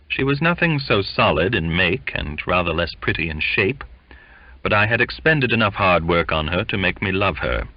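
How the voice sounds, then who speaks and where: A man narrating a literary audiobook in a measured, expressive storytelling manner, in dull, muffled lo-fi audio. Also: low-pitched, no echo.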